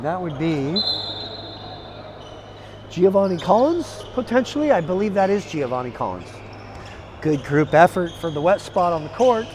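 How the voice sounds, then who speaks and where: A hoarse man calling a live basketball game play by play, energetic and chatty, climbing into excited calls. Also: sits high, moderate pace, pauses often, noisy background. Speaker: play-by-play commentator. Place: arena broadcast position.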